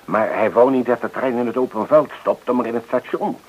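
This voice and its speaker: An actor playing a genial, self-assured titled gentleman in a radio drama, speaking with courtly formality. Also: medium pitch, a little fast, close to an everyday voice. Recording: noisy old radio play recording.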